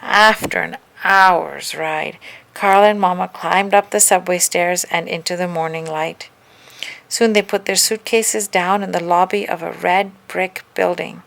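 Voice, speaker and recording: A woman narrating a children's picture book aloud in an expressive, scripted storytelling style. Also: lowish voice, a little slow, gravelly.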